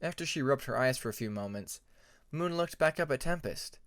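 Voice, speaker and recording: Male audiobook narrator, lively intonation, dry close sound. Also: mid-range voice, brisk, no room sound. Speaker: audiobook narrator of fan fiction. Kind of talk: scripted story narration.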